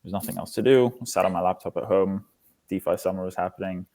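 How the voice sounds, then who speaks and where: A man's voice: sits low, fast talker, warm tone. A man in his twenties from Singapore, explaining his work as an interview guest. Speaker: interview guest, foundation lead. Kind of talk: interview answers, explanatory talk.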